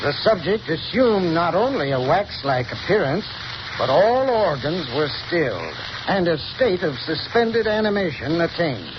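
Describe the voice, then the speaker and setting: An actor playing a scholarly male professor in a 1930s radio drama, explaining in a measured, lecturing manner. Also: fairly high pitch, sing-song. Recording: muffled 1930s radio broadcast with hiss.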